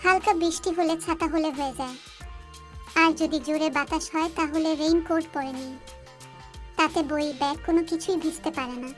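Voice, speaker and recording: A woman's voice: high-pitched, fairly even intonation, normal speed, faint music behind, lightly acted. A woman voicing a chatty, carefree young schoolgirl in a cartoon story.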